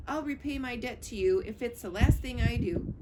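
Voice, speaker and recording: A woman's voice: on the high side, moderate pace, very noisy, natural voice. A woman voicing a folksy, repentant old digging animal in a storybook, speaking emphatically. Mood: grateful, emphatic. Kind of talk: storybook character voice.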